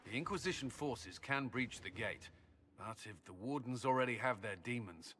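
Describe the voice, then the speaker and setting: An actor voicing a confident male army commander who speaks briskly and with assurance. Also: mid-range voice, expressive, pushed and bright, a little hiss or hum. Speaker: military commander.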